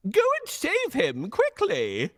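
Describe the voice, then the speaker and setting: A man's voice: very high voice, huge pitch swings, slow pace, a bit bright, long reverb tail, voice pushed up, full character voice. A man voicing a commanding, generous fairy-tale king with a regal, exclamatory manner.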